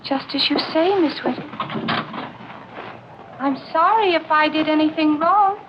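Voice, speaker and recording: An actress playing a meek, apologetic hotel maid in an old radio drama, deferential and plaintive. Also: female voice, sits high, measured pace. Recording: muffled old radio recording, faint noise.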